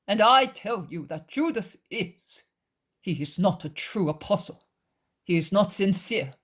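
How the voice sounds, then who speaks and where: A woman's voice: medium pitch, voice pushed up. A woman voicing a worried, vehement, loyal man in a story, urgent and emphatic.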